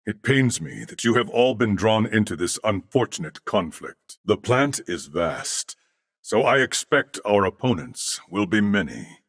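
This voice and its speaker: A man voicing a courteous, thoughtful humanoid merged with machine intelligence in a video game, with formal, measured, earnest diction. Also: on the low side, expressive, dry recording, studio quality. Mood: grave, composed. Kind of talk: scripted video game character acting.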